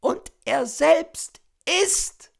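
A man voicing an irritable, self-pitying sick gentlewoman, fretful and aggrieved, in a classic story reading. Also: very high voice, very slow, gravelly, pitched above the performer's own voice, exaggerated voice. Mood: bitter.

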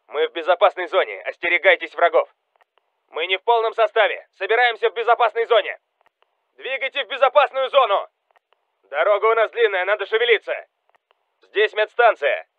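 Urgent Russian soldier, high-pitched radio barks. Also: male voice, lively intonation, fairly quick, no echo, narrow, tinny audio. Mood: calm, businesslike. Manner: urgent, commanding. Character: Russian special forces soldier calling out battle reports. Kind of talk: military game voice lines, clipped barks.

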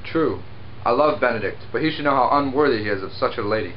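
A man's voice: mid-range voice, lively intonation, quick pace, muffled recording, a little hiss or hum. Young man reading puppet-show lines. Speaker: amateur sock-puppet performer. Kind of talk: amateur puppet-show reading of a classic play.

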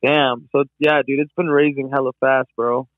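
A man's voice: medium pitch, little pitch movement, moderate pace, no echo. A young male podcast host chats in loose, slang-heavy talk, tossing in "bro" at every turn. His voice comes through a narrow, tinny, phone-like line with a dry, close sound. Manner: slangy, says "bro" and "hella" a lot. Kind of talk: casual conversation.

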